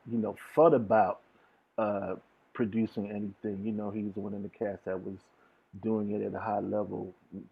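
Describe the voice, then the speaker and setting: A man's voice: lowish voice, creaky, breathy edge. A man being interviewed as a guest, chatting casually and earnestly in slangy, informal language over a video call. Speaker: interview guest, DJ and music producer. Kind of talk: casual chat. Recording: dull lo-fi video call audio.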